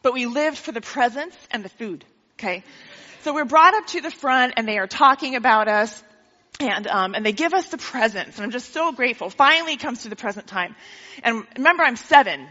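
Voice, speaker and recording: A woman's voice: fairly high pitch, expressive, brisk, bright, forceful tone. A woman preaching a sermon in a church, joking and teasing the congregation and laughing often. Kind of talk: sermon with playful jokes. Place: church sanctuary. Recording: lo-fi hall recording with long reverb.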